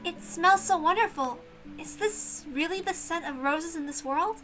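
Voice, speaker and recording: A woman's voice: extremely high pitch, moderate pace, pushed and bright, pitched above the performer's own voice. A woman voicing a timid, polite young servant girl in a visual novel reading, hesitant and deferential over music. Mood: grateful.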